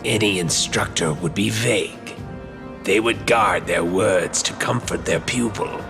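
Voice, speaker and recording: A man's voice: on the low side, measured pace, gravelly, over music. An actor playing a haughty, composed learned man in a fantasy video game, with formal, archaic, condescending speech. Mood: knowing.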